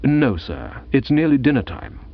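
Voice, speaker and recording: A man voicing a calm, deferential English manservant with a measured, formal manner in a comic audiobook. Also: medium pitch, dry recording, highs cut off. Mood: deferential, composed.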